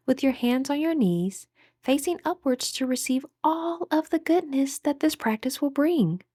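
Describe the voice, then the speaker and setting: A woman with a notably high voice guides a meditation. She speaks in a measured, instructive way, drawing out her phrases with audible breaths. The sound is very dry, with no room around it. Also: dark tone, no echo.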